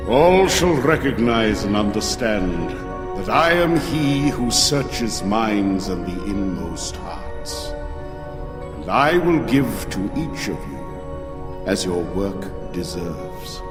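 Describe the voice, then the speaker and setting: An actor playing a solemn, all-knowing divine king in an audio drama, stately and authoritative as he passes judgment. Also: lowish voice. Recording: cavernous echoing reverb under loud music.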